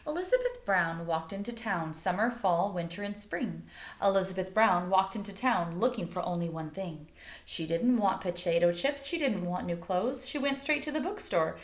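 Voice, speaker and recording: A woman reading a picture book aloud like a teacher, expressively, pausing to explain things and put questions to young listeners. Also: medium pitch, animated. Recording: roomy, echoing indoor sound.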